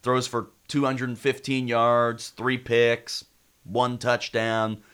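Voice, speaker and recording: A man's voice: mid-range voice, completely flat delivery, slow pace, fairly bright tone, clear, resonant tone. An American man hosting casual sports talk radio, congested with a head cold.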